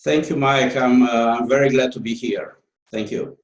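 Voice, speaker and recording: A man's voice: mid-range voice, steady tone, normal speed, reverberant. A man with a Romanian accent, a guest author answering interview questions in a hesitant way, with many fillers and restarts.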